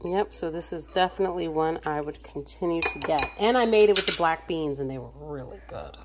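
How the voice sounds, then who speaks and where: A woman with a slightly low voice talks her way through a meal prep in her home kitchen. She narrates each step casually as she works, filmed on a handheld phone. A faint noise sits behind her. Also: a little fast. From United States. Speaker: home cook vlogger.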